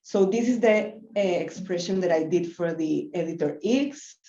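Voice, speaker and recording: A woman's voice: lowish voice, moderate pace, mellow. A woman in her thirties from Venezuela giving a live screen-share design tutorial, easygoing, with many ums and self-corrections. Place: small office room, indoors. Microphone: laptop webcam mic on a video call. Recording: roomy, dull lo-fi call audio.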